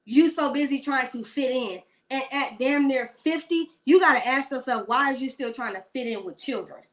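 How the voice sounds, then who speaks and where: High-pitched woman in her late 30s ranting about celeb drama, sassy and blunt. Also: normal speed. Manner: sassy, blunt, rambling rant. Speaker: commentator. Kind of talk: casual celebrity gossip commentary.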